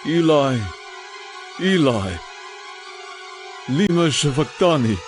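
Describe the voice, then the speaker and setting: A man's voice: fairly high pitch, expressive, slow pace, music in the background. A man playing an anguished dying man calling out to God in an audio Bible dramatization.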